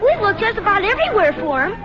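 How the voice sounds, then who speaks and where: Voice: very high-pitched, very animated, fast talker, over a noisy crowd. An actor playing an eager, earnest young boy with a childlike voice in an old radio sitcom. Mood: eager, concerned. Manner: earnest, innocent. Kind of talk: 1940s radio sitcom acting. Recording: muffled old radio broadcast, music.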